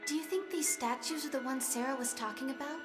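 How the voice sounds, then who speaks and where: An earnest young heroine, acted by a woman from an anime-style game. Her voice is high and full of wonder. It echoes in a hall, with music clearly behind. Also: quick pace, close to an everyday voice. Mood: pensive, wondering. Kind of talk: English-dubbed anime-style game acting.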